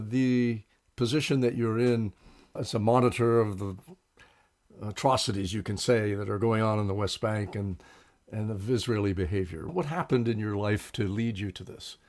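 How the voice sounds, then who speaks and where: A man's voice: mid-range voice, a little mellow. Earnest male interviewer. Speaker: interviewer, co-host. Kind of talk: interview questions and introduction.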